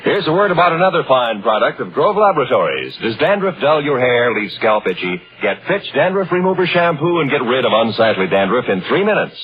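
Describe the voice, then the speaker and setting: Animated male pitchman, old radio. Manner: emphatic, punchy instructions. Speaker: radio commercial announcer. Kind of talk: 1950s scripted hard-sell radio ad. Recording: muffled, noisy old radio broadcast.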